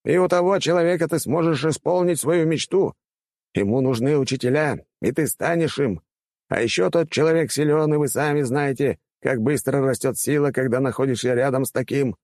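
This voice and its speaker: Man putting on a high, blustering old rector. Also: normal speed, a little mellow, no room sound, studio quality, natural voice. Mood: blustering, persuasive. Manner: exclamatory, indignant, pompous. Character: elderly male academy rector, blustering, wheedling salesman.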